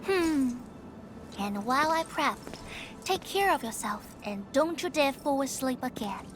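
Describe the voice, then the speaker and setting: Haughty scolding game girl boss, secretly caring, high voice, slow delivery, noisy background. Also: lively intonation. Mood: scolding, concerned. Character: brusque, bossy, secretly caring female protector. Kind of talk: video game voice acting.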